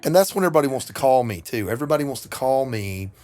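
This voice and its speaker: Man, middle-aged, hoarse cold-worn voice, gravelly, casual podcast co-host, mock-dramatic humour, medium pitch. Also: lively intonation, very fast, a little mellow. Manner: jokey, self-deprecating, mock-dramatic. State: hoarse, getting over a cold. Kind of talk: casual comedic podcast chat.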